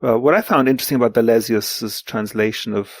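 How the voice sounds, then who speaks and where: A man in his sixties whose mother tongue is German, speaking reflectively and haltingly as an interview guest, often repeating words for emphasis. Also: medium pitch, no room sound, clean studio sound. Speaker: guest, literary translator. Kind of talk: reflective podcast interview talk.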